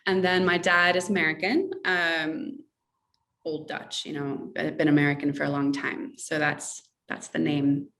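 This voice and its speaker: A woman's voice: deep voice, little pitch movement, measured pace, a bit bright, recorded from a distance. A woman author from Russia chatting effusively in an interview over a video call, rambling and circling back mid-sentence. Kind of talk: casual interview chat.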